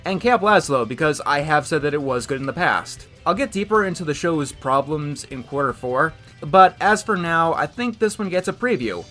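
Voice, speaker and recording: A man's voice: a little high, fairly bright tone. A man narrating a scripted, bluntly critical video essay.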